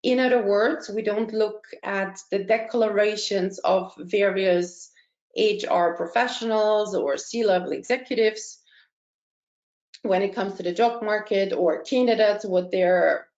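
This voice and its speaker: A woman's voice: mid-range voice, a little slow, darkish tone. Woman in a roomy webinar. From Austria. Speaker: career consultant, webinar presenter. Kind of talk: webinar presentation. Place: indoor room, online call. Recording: dull, roomy online webinar audio.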